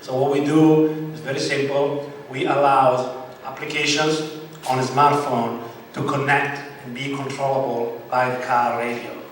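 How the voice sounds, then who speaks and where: A company president introducing himself on a panel, brisk and plain. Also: male voice, mid-range voice, level tone. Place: event room. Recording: echoey hall mic from far off, background noise.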